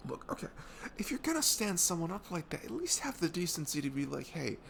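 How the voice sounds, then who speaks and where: A young man playing a caring, protective best friend in an intimate ASMR audio roleplay, talking in a halting, soothing, earnest way that slips into whispers. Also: on the high side, sing-song, creaky, fairly bright tone, a little hiss or hum, heavily acted. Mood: shocked, indignant.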